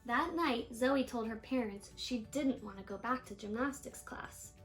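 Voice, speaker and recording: A woman reading a children's picture book aloud in an expressive storytelling manner, stretching key words. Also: a little high, a little slow, soft background music. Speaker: storybook reader.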